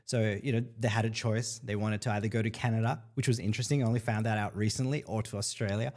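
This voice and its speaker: A man's voice: fairly low pitch, steady tone, fast talker, a little mellow. Chatty man hosting a live Q&A. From El Salvador. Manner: chatty, upbeat, lots of fillers, reads comments aloud. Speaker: livestream host. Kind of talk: casual live Q&A stream.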